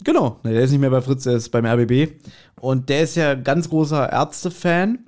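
A man's voice: medium pitch, brisk, a little mellow. A man hosting a casual podcast chat, telling stories in a rambling, joking way. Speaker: podcast host.

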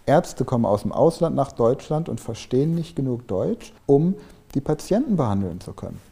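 A man's voice: mid-range voice, sing-song, moderate pace, creaky, dark tone. A man as an interview guest, a business mentor who explains his work in a conversational way and tells anecdotes.

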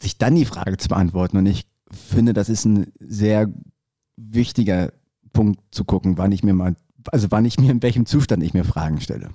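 A young man with a low, mellow voice chatting on a podcast, recorded close and dry. He rambles through long turns, stammering into restarts and throwing in cheeky jokes. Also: moderate pace, dry recording. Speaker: podcast co-host. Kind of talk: casual chat.

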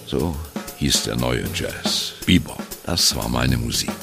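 An actor playing a male jazz musician who wryly and candidly recalls his youth in a radio play monologue, in a gravelly voice. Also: a bass voice, animated, slow pace, over music, full character voice. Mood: wry reminiscing. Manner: wry, candid, self-deprecating. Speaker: narrator of an audio drama. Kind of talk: first-person radio play monologue, storytelling.

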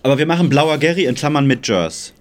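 A man's voice: a little high, animated, quick pace. A man with a German accent bantering casually as a podcast co-host, in colloquial, slangy language.

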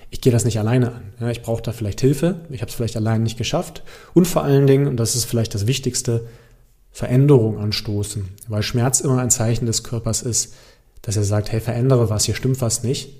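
A man with a soft, mellow voice at a middle pitch hosts an informal question-and-answer talk. He explains things like a coach, conversationally and straight to the listener, often adding short check-in tags. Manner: conversational, direct address with frequent check-in tags. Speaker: host, pain and movement coach.

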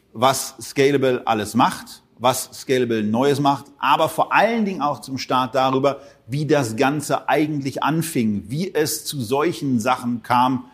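A man with an ordinary mid-range voice co-hosts a finance podcast. He welcomes listeners in a lively, casual way and slips in small jokes as he introduces the guest. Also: mid-range voice, husky. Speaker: podcast co-host.

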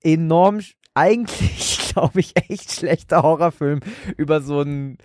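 A man's voice: on the high side, unhurried, a little mellow, no echo. A man chatting casually as a podcast co-host. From Germany. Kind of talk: casual chat.

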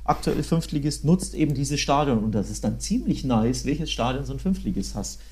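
A man's voice: medium pitch, expressive, moderate pace, darkish tone, breathy edge. A man talking casually and enthusiastically, as a football podcast co-host. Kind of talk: casual football chat, recounting a trip.

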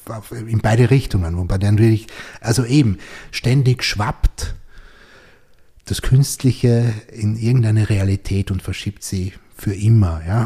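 A man's voice: fairly low pitch, mellow, husky. A man hosting a podcast interview, reflective and thinking aloud as he talks. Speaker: podcast host. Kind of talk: conversational interview.

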